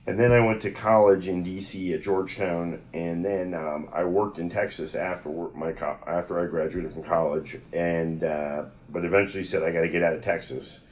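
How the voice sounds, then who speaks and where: Low-voiced middle-aged American man. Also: steady tone, normal speed, few pauses, darkish tone. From United States (Yonkers, New York). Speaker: interview guest recounting personal history. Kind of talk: conversational oral-history storytelling.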